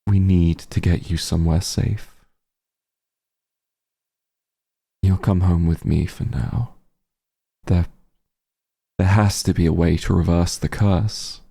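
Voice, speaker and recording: A man as a gravelly, low-voiced tender monster hunter. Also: fairly even intonation, heavily acted. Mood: worried, determined. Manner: halting, emotional, gentle. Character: werewolf hunter, tender and remorseful, reunited with lost friend. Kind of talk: roleplay audio drama, intimate monologue to listener.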